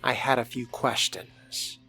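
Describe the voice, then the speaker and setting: A man voicing a brooding, scholarly young vampire in a measured, formal, musing manner for a video game roleplay. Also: medium pitch, fairly even intonation, creaky, sharp tone, faint music behind, full character voice. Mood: dry curiosity. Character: brooding, scholarly male vampire fledgling, dryly curious. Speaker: player narrating in character.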